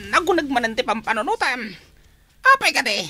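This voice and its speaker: A worried gang sidekick in a radio drama. The voice is piercingly high and bright, cautioning with animated, lively swings of pitch. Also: female voice, a little fast, soft background music, exaggerated voice. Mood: alarmed, cautioning. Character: excitable worried underling to a gang boss. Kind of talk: Ilocano radio drama acting.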